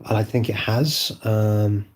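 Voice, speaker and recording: A man vlogging from home while unwell, his voice lowered by illness, rambling and hesitant with many fillers. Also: steady tone, takes it slowly. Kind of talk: casual monologue to camera. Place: at home indoors.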